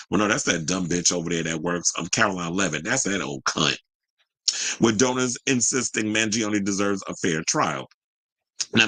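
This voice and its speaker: A man's voice: medium pitch, sharp tone, poor audio. An American man hosting a news and gossip show, reading stories and adding blunt, profane, sassy asides in colloquial speech.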